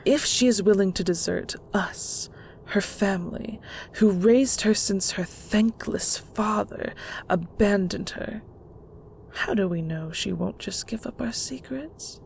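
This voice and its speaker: A woman playing a stern, manipulative mother in an audio drama, condescending and deliberate, with a creaky, often hushed voice. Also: mid-range voice, a little hiss or hum. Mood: stern, cold. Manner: slow, deliberate, condescending, with terms of endearment. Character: stern, manipulative mother of a secret magical family. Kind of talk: scripted audio roleplay drama.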